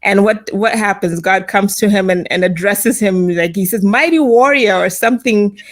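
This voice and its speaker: A woman co-hosting a faith podcast, at a middle pitch. She retells stories in a relaxed way and slips into lively quoted voices. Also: normal speed. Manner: storytelling, acts out quoted lines. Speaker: podcast co-host. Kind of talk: casual faith podcast chat.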